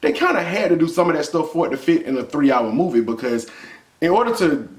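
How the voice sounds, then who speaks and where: Fast-talking American man, roomy sound. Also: a little high, big pitch swings. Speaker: vlog host reviewing a film. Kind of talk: casual chat, movie review. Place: indoor room with bare wall. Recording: roomy indoor echo.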